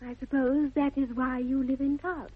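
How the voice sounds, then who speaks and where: An actress playing a spirited, prim young upper-class English lady in a 1960s radio comedy, crisply and pointedly polite. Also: high voice, flat delivery, normal speed, close to an everyday voice. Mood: sweetly cutting. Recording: thin, phone-like old radio recording.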